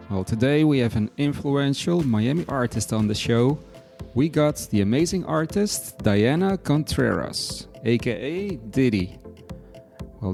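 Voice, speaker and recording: A man's voice: medium pitch, vocal fry, darkish tone. A man hosting a podcast, giving an upbeat introduction and then asking questions, often starting sentences with "well" and calling things amazing. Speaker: podcast host.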